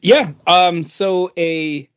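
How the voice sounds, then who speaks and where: A man co-hosting a casual podcast, explaining industry news in a halting, stop-start way. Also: high voice, a bit bright, clean tone, no echo, highs cut off. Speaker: podcast co-host.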